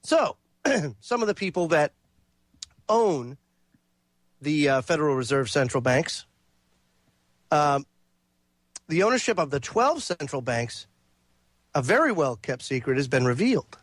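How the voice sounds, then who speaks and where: A man's voice: fairly high pitch, very animated, unhurried, long pauses, no echo. A male talk radio host who sounds congested, delivering a monologue and reading passages aloud with frequent fillers and restarts. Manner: reads quotes and lists aloud, with fillers and restarts.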